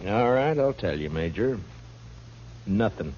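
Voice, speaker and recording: An American actor playing a firm, laconic frontier marshal in a 1950s radio western. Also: male voice, on the low side, expressive, measured pace, a little mellow. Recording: muffled, noisy old radio recording with hum.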